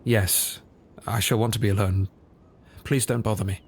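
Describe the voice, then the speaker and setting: A man's voice: on the low side, fairly even intonation, normal speed, very noisy, lightly acted. Man acting brooding detective, cavernous echo. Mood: withdrawn. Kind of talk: audio drama acting. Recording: echoey hall, loud music underneath.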